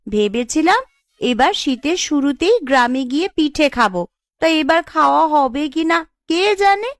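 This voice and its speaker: A woman's voice: sits high, animated, normal speed, dry recording. A woman voicing a wistful, sometimes sulky young wife in a cartoon, with a plaintive, coaxing manner. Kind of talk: cartoon voice acting.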